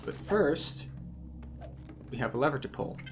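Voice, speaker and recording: A man's voice: a little high, lively intonation, unhurried, noisy background. A man giving a methodical, explanatory guided commentary through a video game as the host.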